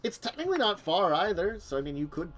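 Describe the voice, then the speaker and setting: A man's voice: high voice, very animated, fast, a bit bright, slight background noise, poor audio. A man running a tabletop vampire game as game master, talking casually with many fillers.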